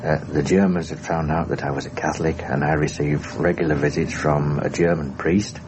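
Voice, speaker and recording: A man's voice: a bass voice, steady tone, a little fast. A man giving a hesitant, formally worded first-person account of being a prisoner of war, for a documentary. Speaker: former airman and prisoner of war recounting his experience. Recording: noisy 1960s radio documentary recording.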